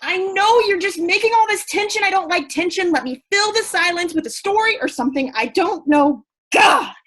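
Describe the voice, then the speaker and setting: A woman's voice: very high voice, lively intonation, moderate pace, fairly bright tone. A woman playing an anxious, naive young character who rambles nervously in a staged play reading. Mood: agitated.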